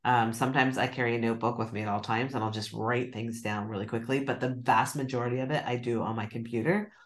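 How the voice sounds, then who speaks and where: A woman's voice: extremely low pitch, fairly even intonation, fast talker. A middle-aged woman joins a book club by video call, talking about her writing habits in a loose, chatty way. She sounds self-deprecating and laughs easily as she goes. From Nova Scotia, Canada. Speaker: novelist guest. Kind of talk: casual book club conversation.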